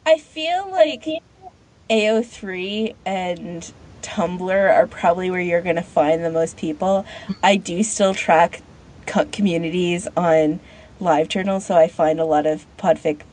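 A woman's voice: fairly low pitch, measured pace, darkish tone. A woman co-hosting a podcast, talking casually and conversationally with frequent filler "like". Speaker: podcast co-host.

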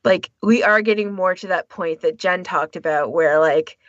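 Lady podcast cohost chatting and explaining stuff, lowish voice, kinda dull dry recording. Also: moderate pace, no room sound. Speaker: podcast co-host. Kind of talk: casual panel discussion.

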